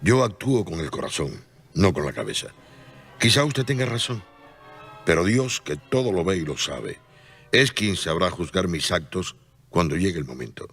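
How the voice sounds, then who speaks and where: A man voicing a devout, humble male saint in a radio drama, speaking in solemn, pleading tones with soft music behind. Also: low voice, very animated, husky, heavily acted. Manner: solemn, pleading, slow emphatic prayer.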